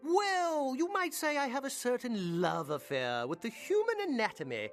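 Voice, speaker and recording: A man's voice: very high-pitched, sing-song, moderate pace, faint music behind, exaggerated voice. An actor playing a fussy, politely obsessive and creepy male shop owner in a video game, with genteel, fluttery, hesitant speech. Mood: eager, creepy. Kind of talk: video game character acting.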